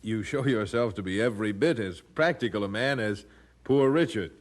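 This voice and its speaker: An actor playing a devout, courteous, resolute preacher in an audio drama, speaking formally and earnestly. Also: male voice, lowish voice, normal speed, lightly acted.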